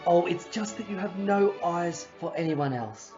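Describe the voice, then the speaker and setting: A woman's voice: on the low side, expressive, unhurried, dark tone. A woman playing an earnest, affectionate young man in love in an audio play, eager to prove himself. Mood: flustered, fond. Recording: muffled audio, faint music and chatter.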